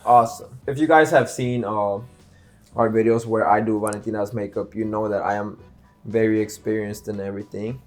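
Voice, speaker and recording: A man's voice: lowish voice, steady tone, normal speed, soft background music. A young man hosting a casual vlog, boasting playfully while doing makeup blindfolded. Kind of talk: casual joking vlog chat.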